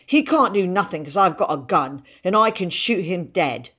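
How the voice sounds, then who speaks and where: A woman's voice: fairly low pitch, lively intonation, normal speed, a bit bright, slightly rough. A woman voicing an earnest, proud and stubborn little boy in an audiobook, with dogged, childish, run-on speech. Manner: dogged, breathless run-on boasting, childish grammar. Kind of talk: audiobook character voice.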